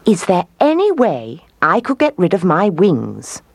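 A woman's voice: lowish voice, wildly swooping pitch, unhurried, husky, no echo, voice pushed up, exaggerated voice. A woman voicing a vain, huffy female emu in a children's story, squawking and indignant. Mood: vain, curious.

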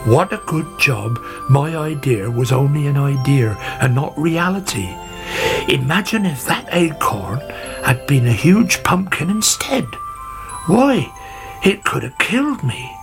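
Guy doing a grumbly old grandad gardener for a kids story, slow, really up and down, music under it. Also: fairly high pitch, very animated. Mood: shocked, humbled. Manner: exclamatory, musing aloud, opinionated. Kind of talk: children's storybook character voice.

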